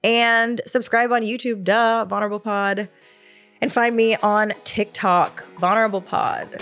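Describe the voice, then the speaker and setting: A woman's voice: medium pitch, steady tone, a little slow, a bit bright, faint music behind. A woman, hosting her podcast in a casual solo chat, laughing often and trailing off with fillers. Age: 30s. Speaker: podcast host.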